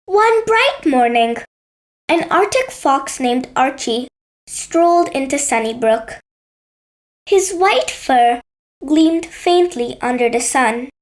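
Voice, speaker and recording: A woman's voice: sits high, expressive, takes it slowly. A woman narrating a scripted bedtime story for children as a storyteller.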